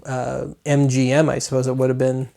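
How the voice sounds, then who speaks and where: A man's voice: mid-range voice, completely flat delivery, moderate pace, creaky, mellow. A man hosting a retro media web show, talking casually in a chatty, rambling way with wry asides.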